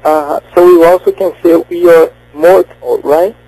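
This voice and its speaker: A man's voice: fairly high pitch, fairly even intonation, unhurried, mellow, low-quality recording. A man with a Brazilian accent calling a radio show, hesitant and checking that he understands. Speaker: caller. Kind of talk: phone-in conversation.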